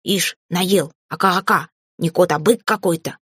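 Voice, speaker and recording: A stocky, scrappy house spirit from a fantasy storybook, put on by a woman. He talks in folksy rustic phrases with a burred r, gruff yet easily flustered, recorded close and dry. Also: on the low side, a little slow, fairly bright tone, no echo. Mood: indignant scolding.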